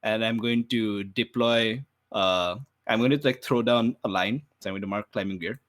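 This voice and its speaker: A man's voice: on the low side, normal speed, fairly bright tone. A man playing a tabletop game, casually thinking aloud as he describes and weighs his moves.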